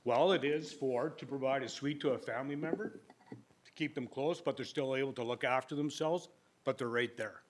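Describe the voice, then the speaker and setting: Older man, applicant at a council hearing, plainspoken, sometimes booming. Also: fairly quick, background noise. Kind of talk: public hearing presentation. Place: council chamber. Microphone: podium microphone.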